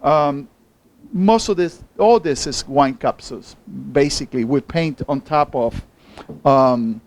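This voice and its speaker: A man from Cuba with a Cuban accent informally presenting his own artwork in a halting, hesitant way. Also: fairly high pitch. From Havana, Cuba. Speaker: artist.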